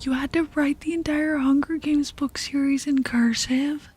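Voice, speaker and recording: A woman streaming ASMR, chatting casually in a soft, breathy, often whispered voice with a relaxed, intimate manner, close on the mic. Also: high-pitched. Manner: relaxed, slow, intimate murmur. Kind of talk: ASMR, casual chat with live viewers. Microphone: close ASMR microphone. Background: music.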